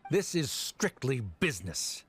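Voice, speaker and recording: A man's voice: medium pitch, very animated, measured pace, husky, heavily acted. A man playing a stern, haughty middle-aged official in a video game, formal, pompous and dismissive. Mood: stern, scornful.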